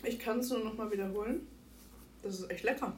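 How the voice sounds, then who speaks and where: A woman's voice: mid-range voice, a little fast, a little mellow. A young woman in casual vlog chat, teasing her friend and often breaking into laughter. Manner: teasing, giggly. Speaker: vlog co-host. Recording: echoey room with faint hiss.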